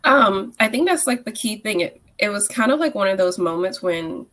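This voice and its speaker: A young woman, a guest on a video call, telling a story in a lively way with many "like"s and acted-out quotes. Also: mid-range voice, normal speed. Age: young adult. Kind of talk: casual video-call chat. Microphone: video call, laptop mic.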